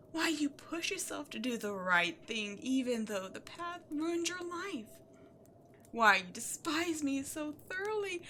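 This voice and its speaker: A woman playing an imperious, scheming demon queen in a dramatic audio roleplay, seductive and easily exasperated, moving between outbursts and breathy near-whispers. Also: high voice, fairly bright tone, a little hiss or hum, heavily acted. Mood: excited, gloating. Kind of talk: scripted audio roleplay, theatrical monologue to the listener.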